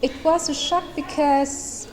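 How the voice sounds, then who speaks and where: A woman in her thirties from Eritrea whose first language is Tigrinya, answering interview questions with many pauses and ums. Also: sits high, fairly even intonation, darkish tone. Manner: hesitant, with many ums and restarts, laughing lightly. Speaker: lawyer and human rights advocate.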